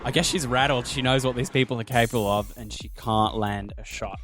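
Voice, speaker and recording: A man's voice: medium pitch, fairly quick. A man running a tabletop role-playing game, narrating vividly in an informal, sweary way. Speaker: game master. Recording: music playing under the voice. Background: music.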